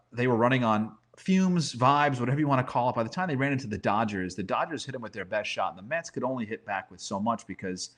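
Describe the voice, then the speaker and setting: A man, a sports broadcaster as podcast guest, talks through baseball analysis conversationally, often posing questions to himself. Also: medium pitch.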